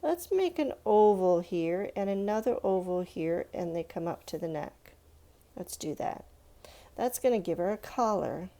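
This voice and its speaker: A woman's voice: fairly low pitch, animated, a little slow, dark tone. A woman giving an informal step-by-step drawing lesson for children, encouraging and thinking aloud as she goes. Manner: encouraging, thinking aloud, addressing young viewers with "let's" and check-ins. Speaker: art teacher for children.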